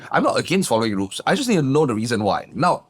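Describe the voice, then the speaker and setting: A man, a guest business coach telling his backstory in a lively, emphatic way. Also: racing, slightly rough. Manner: animated, emphatic, swings pitch up for punchlines. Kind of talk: casual interview storytelling.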